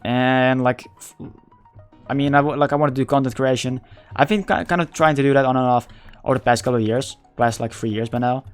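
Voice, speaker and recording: A man whose first language is Dutch speaks English with a Dutch accent in casual livestream chat. He talks haltingly, searching for words and often restarting. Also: medium pitch, steady tone, clear, resonant tone, faint music behind. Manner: halting, searching for words, many repeated starts.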